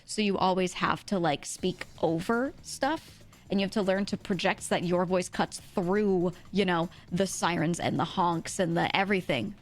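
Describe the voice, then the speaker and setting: A woman's voice: fairly low pitch, moderate pace. A woman livestream host with a thin voice, chatting casually and animatedly to her viewers in a rambling, giggly way, close on a streaming mic. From US East Coast. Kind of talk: casual livestream chat, reading and answering viewers' comments. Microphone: close streaming microphone. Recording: music playing behind the voice. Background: background music.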